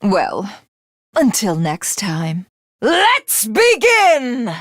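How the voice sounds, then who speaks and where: A woman's voice: fairly high pitch, huge pitch swings, extremely slow, very noisy, heavily acted. A woman voicing a haughty, sadistic alien villainess in a video game, obsessively lovestruck and self-glorifying, with a grandiose, condescending, theatrical delivery. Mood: gloating, cruel.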